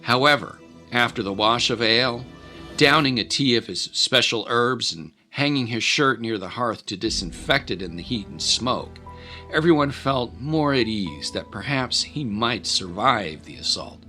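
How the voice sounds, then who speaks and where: Man, dramatic story narration, bright forceful tone, faint music underneath. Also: medium pitch, lively intonation, unhurried.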